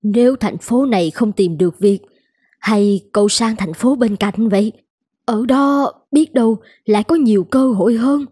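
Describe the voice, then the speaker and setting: An actress playing a kindly, brisk older woman who runs a noodle shop in a cartoon, plain-spoken and reassuring. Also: fairly high pitch, dark tone, breathy edge, no room sound, studio quality. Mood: helpful. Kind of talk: animated moral-tale voice acting. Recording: music under the voice.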